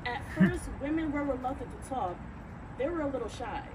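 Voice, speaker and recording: A woman on stage plays an amused female narrator in a comic reading. Her high voice swings widely under loud background noise. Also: expressive, fairly quick. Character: amused female narrator describing shy, chatty women. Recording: distant mic, loud live venue noise.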